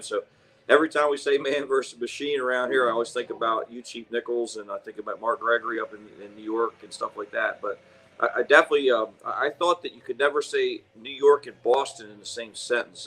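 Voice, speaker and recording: A man co-hosting a firefighter webcast, talking casually and haltingly with many fillers. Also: mid-range voice, a bit bright, rough recording. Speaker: firefighter co-host.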